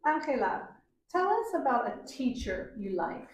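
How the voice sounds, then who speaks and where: A woman acting as an English exam examiner, asking clear, deliberate questions to students. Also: sits high, big pitch swings, slight background noise. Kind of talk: mock speaking exam questions. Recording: echoey room, mic at a distance.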